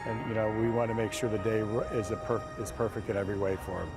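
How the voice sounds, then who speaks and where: A man's voice: on the low side, fairly even intonation, fast, over loud music. A man who organizes a veterans' trip, speaking sincerely and steadily in interview remarks.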